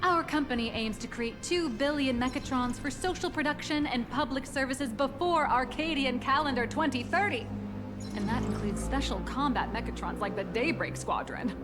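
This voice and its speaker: High-voiced, polished corporate tour guide played by an actress. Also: female voice, normal speed, music in the background, natural voice. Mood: polished, proud. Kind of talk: scripted anime game voice acting.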